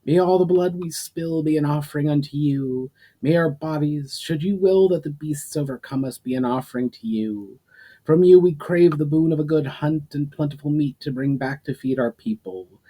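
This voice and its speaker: Man voicing a proud young hunter, loudly declaiming. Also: a little high, moderate pace, voice pushed up, natural voice, rough recording. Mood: solemn, proud. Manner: declamatory, solemn, raised voice. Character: eager, proud young man, a hunt leader proclaiming a vow. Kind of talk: dramatic story reading.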